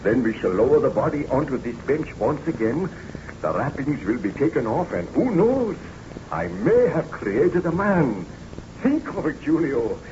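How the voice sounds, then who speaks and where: An actor playing an obsessed, weary scientist in a theatrical, deliberate old-time radio drama style, on a muffled, noisy recording. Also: male voice, on the high side, big pitch swings, darkish tone. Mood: feverish, obsessed. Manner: theatrical, deliberate, brooding narration.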